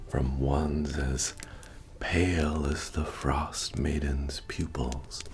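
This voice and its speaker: A man playing a friendly, wry medieval fantasy innkeeper in a soft-spoken ASMR roleplay, with a gravelly murmur, folksy manner and gentle joking asides. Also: exaggerated voice. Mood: welcoming, wry. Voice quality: deep, gravelly, breathy murmur. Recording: soft music playing behind the voice. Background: bard-style music.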